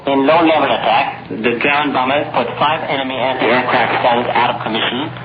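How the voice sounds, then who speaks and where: A man reading a scripted 1940s radio news bulletin in a formal, measured announcer's delivery. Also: mid-range voice. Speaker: radio newsreader. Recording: noisy, dull 1940s shortwave radio recording.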